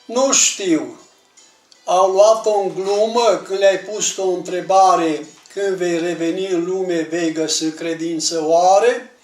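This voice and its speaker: A man recites religious poetry in a declamatory, measured manner, leaning on the ends of his phrases. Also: high-pitched, steady tone, darkish tone. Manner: declamatory, measured phrasing with emphatic line endings. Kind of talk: dramatic recitation of religious verse. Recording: roomy distant pickup with music.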